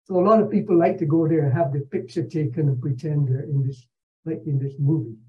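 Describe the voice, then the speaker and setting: An older man from Barbados giving a narrated travel slideshow over a video call, speaking in a measured, halting, explanatory way. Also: a little high. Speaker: presenter, retired professor. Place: indoor room at home, on a video call. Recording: dull video call audio.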